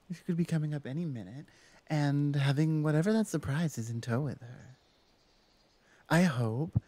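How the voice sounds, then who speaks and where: Female orc shaman played by a woman for ASMR roleplay: mellow, very dry close sound, chatty, teasing and devoted. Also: extremely low pitch, dry recording. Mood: playful, flirtatious. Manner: chatty, playful, hesitant asides. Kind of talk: ASMR roleplay, intimate one-sided conversation.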